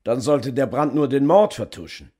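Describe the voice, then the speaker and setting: An actor playing a blunt, sceptical police inspector in crime radio drama dialogue. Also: male voice, medium pitch, normal speed, mellow, breathy edge, natural voice. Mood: businesslike.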